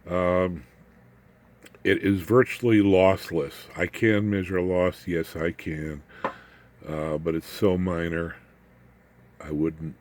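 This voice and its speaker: An older man giving a casual, unscripted walkthrough as a hobbyist presenter, in a folksy, halting way with wry asides. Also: sits low, fairly even intonation, a little mellow, a little hiss or hum.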